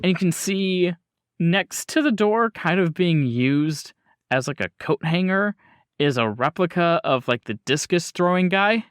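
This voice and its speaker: A man acting as game master, improvising descriptive narration in a casual, halting way. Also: sits high, lively intonation, clear, resonant tone, dry recording.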